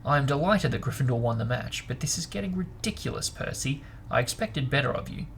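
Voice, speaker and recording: A man's voice: mid-range voice, expressive, brisk, vocal fry, fairly bright tone, slight background noise. A man voicing a stern, indignant female teacher scolding pupils in a storybook. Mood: exasperated. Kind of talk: storybook read-aloud.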